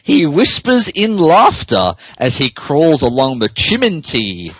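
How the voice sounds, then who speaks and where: A man co-hosting a podcast, reading fan fiction aloud with deliberate mock seriousness. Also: a little high, huge pitch swings, fairly bright tone, slightly rough, no room sound. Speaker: podcast co-host narrating a story.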